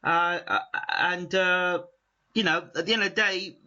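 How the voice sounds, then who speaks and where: A man with a strong British accent interviewing a guest, building his questions in long excited runs. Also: high voice, a little slow. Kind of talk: animated interview chat. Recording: muffled lo-fi audio.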